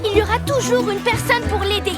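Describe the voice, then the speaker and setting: Little cartoon princess, really high voice, stubborn and earnest, big music underneath. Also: female voice, fairly even intonation, brisk. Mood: defiant. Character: headstrong, trusting young girl princess. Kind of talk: French animated series voice acting. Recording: loud music behind.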